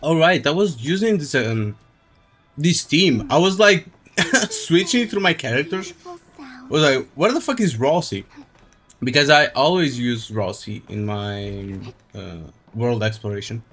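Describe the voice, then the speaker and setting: A man's voice: medium pitch, expressive, unhurried, fairly bright tone. A man giving casual, wry live commentary as he streams a video game. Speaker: livestreamer.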